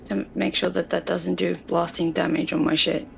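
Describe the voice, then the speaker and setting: A woman's voice: on the low side, level tone, fairly quick, vocal fry. A woman speaking casually as a player in a tabletop game. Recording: dull muffled mic, faint noise.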